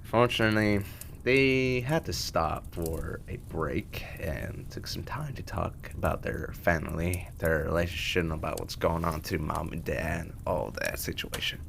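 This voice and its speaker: A performer voicing a casual, halting young hellhound in a fan comic dub. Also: sits low, creaky, fairly bright tone, slight background noise, heavily acted. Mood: casual storytelling.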